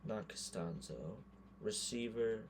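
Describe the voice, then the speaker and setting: A hoarse man giving casual gameplay commentary, thinking aloud and muttering quick verdicts as he goes. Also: fairly low pitch, background noise. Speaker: gaming creator.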